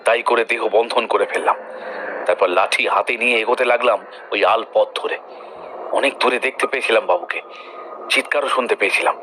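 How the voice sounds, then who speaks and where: A man's voice: fairly low pitch, brisk, pauses often, pushed and bright. A man as earnest village mystic, noisy backdrop. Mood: earnest, mysterious. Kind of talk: audio drama storytelling. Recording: noisy background ambience.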